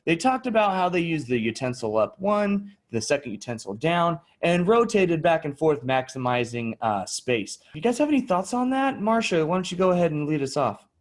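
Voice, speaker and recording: A man's voice: on the high side, big pitch swings, moderate pace, few pauses. A man playing an easygoing panel show anchor in an improvised comedy sketch, moderating casually.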